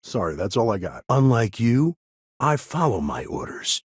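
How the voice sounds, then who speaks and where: A man playing a level-headed, world-weary lawman ranger in a video game, with a gravelly, husky voice and a firm, plain-spoken way of talking. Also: mid-range voice, very animated, moderate pace, no room sound, clean studio sound. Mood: matter-of-fact. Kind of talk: game character dialogue lines.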